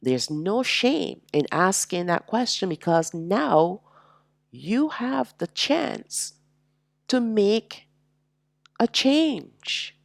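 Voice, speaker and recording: A woman teaching a slow, reflective sermon, sounding nasal and congested from allergies, with drawn-out, emphatic phrasing. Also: mid-range voice, very animated, vocal fry, no room sound, studio quality. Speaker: religious teacher.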